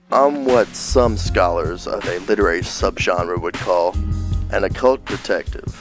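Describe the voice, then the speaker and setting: Male actor as seedy occult detective, lowish pitch, unhurried, deadpan, comic radio noir, music behind. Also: creaky, heavily acted.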